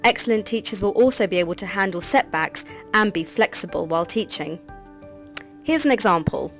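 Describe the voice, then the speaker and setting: Woman reading a teacher training voice-over, calm and measured, music playing under her. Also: medium pitch. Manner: even, measured instructional reading. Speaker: course narrator. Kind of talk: scripted narration for teacher training. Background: background music.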